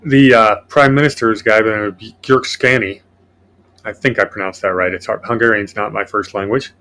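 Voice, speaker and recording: A man's voice: fairly low pitch, fairly even intonation, fairly quick, bright, forceful tone. A man hosting a casual political podcast, reading from his notes in a sardonic way. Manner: sardonic, reads notes aloud. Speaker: podcast host.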